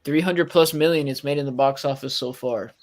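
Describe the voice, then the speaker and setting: Male podcast co-host, medium pitch, casual and informative delivery. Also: brisk.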